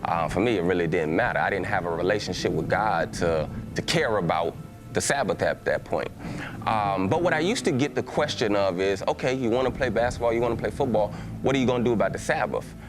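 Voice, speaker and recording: A man's voice: lowish voice, animated, brisk, music in the background. A man from Texas with a Southern accent, a pastor giving a conversational personal testimony to a church, with frequent restarts and filler words.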